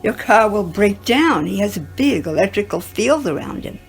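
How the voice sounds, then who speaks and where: Female narrator for spoken memoir, mid-pitched with some vocal fry, music underneath. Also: expressive, moderate pace. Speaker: reader of written testimonials. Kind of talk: narrated first-person recollections, read aloud.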